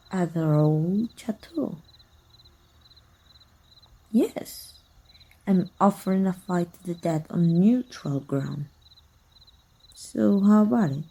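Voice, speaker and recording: A woman from Mexico playing a sly, taunting, manipulative female vampire hunter and estranged sister in an ASMR roleplay, speaking with deliberate, mock-sweet menace. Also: medium pitch, creaky, warm tone, slightly rough, heavily acted.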